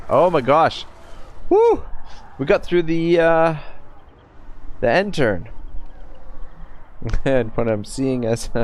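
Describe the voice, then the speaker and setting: A man's voice: medium pitch, a little mellow, a little hiss or hum. A man from Nova Scotia, casually commenting on a live game stream, swearing often and thinking aloud with lots of fillers. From Nova Scotia, Canada.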